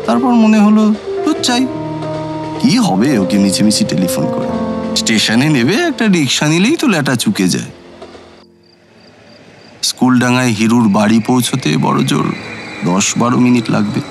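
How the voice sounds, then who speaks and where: A man's voice: mid-range voice, sing-song, moderate pace, gravelly, long pauses, music in the background. A male actor playing a former judicial officer who narrates his night journey as a scripted, literary first-person audio story. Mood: musing.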